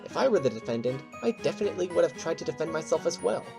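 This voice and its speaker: A woman's voice: low-pitched, big pitch swings, very fast, vocal fry, music in the background, heavily acted. A woman playing an eager, scrappy spirit who is ready for a fight, in an amateur audio drama. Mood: eager, bold.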